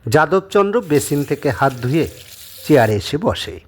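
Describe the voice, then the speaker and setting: A man narrating a scripted dramatic story, with a husky voice. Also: fairly high pitch, big pitch swings, moderate pace, very noisy.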